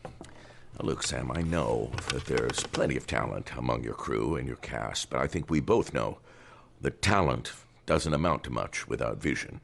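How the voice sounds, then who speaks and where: A man playing an older theater owner in an audio drama, formal and candid, speaking with measured authority. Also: very deep voice, animated, vocal fry, fairly bright tone, a little hiss or hum, exaggerated voice. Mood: formal, confidential. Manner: measured, formal, patronizing yet appreciative.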